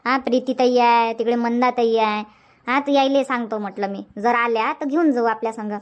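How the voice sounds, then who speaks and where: A woman's voice: on the high side, fairly even intonation, brisk, natural voice. A woman voicing a bossy, chatty cartoon village auntie with a Varhadi Marathi accent, insistent and repeating herself. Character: bossy, sociable village auntie organizing her friends. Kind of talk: cartoon comedy dubbing.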